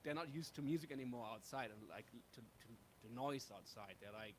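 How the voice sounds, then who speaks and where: A man of medium pitch chats in a halting, tentative way as an interviewed musician. Clear ambient noise sits behind his voice. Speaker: busking musician being interviewed. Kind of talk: casual anecdotal chat.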